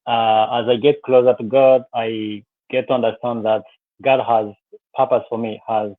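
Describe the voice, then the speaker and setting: A man's voice: mid-range voice, flat delivery, moderate pace, dry recording. A man in his thirties from Rwanda, speaking earnestly with many fillers as a guest in a live interview over a video call. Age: 30s. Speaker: guest, business coach. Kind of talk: live interview, personal story. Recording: tinny phone-like line, dry.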